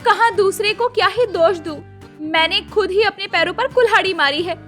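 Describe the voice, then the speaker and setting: A woman's voice: very high voice, fairly quick, a bit bright, faint music behind. A woman playing a hardworking, harried young daughter-in-law in a dramatised family story, exclaiming theatrically. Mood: exasperated. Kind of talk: dramatised Hindi moral-story acting.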